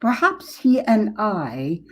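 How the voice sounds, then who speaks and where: Woman acting as scheming witch mother, very slow deliberate speech, hateful grumbling, comic storybook verse. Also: mid-range voice, wildly swooping pitch, a little mellow, heavily acted. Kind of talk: live dramatic reading of comic verse.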